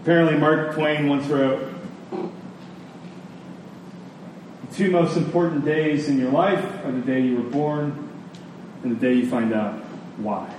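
Male pastor, slightly high voice, earnest conversational sermon delivery with emphatic pauses, in a very echoey room. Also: steady tone, darkish tone. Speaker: pastor preaching. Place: large room, preaching to a congregation. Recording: echoey room, distant mic, faint noise.

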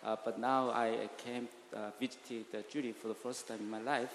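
A man from South Korea introducing himself at church, speaking haltingly with many fillers into a handheld microphone. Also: mid-range voice, little pitch movement, a bit bright. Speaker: visitor. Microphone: handheld mic passed around. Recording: echoey church hall, faint noise.

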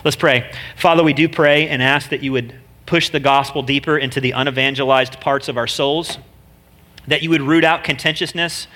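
A man's voice: fairly high pitch, bright, forceful tone. A man with a nasal voice, a guest preacher giving a casual, joking sermon full of slang. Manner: chatty, joking, slangy delivery.

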